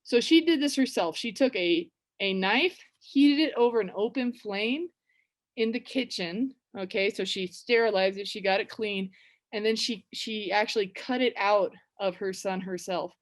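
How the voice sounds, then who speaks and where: A woman with a West Coast American accent telling and reading a story to language learners in a casual, chatty way, with many fillers and restarts. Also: mid-range voice. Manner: reads book passages slowly and clearly. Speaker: teacher reading a children's book. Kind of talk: storytelling lesson for English learners.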